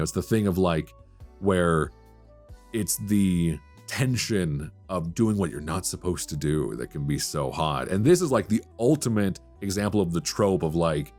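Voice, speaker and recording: A man's voice: a bass voice, expressive, moderate pace, darkish tone, soft background music. A man hosting a solo podcast in a casual, conversational way, speaking with enthusiasm and emphasis. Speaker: podcast host.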